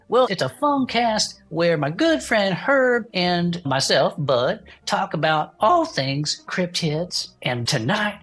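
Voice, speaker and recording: A woman playing a folksy, sentimental gnome who hosts a comedic podcast about cryptids, talking in an effusive, drawn-out way. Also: on the low side, breathy edge, full character voice. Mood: cheerful, welcoming. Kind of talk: improvised comedic fiction podcast.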